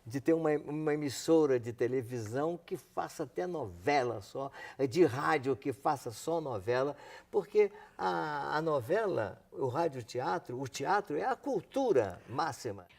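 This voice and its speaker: An older man with a Brazilian accent, a radio veteran reminiscing in halting, repetitive, emphatic speech. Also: on the high side, expressive, creaky, a little mellow. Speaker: veteran radio drama performer. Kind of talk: reminiscing in conversation.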